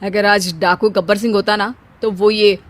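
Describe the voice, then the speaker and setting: A man's voice: sits high, little pitch movement, moderate pace, lightly acted. A young Indian husband in a comic family tale, played by a male voice actor. He is sarcastic and forever wisecracking. His delivery is teasing, with an exasperated edge. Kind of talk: Hindi family comedy story acting.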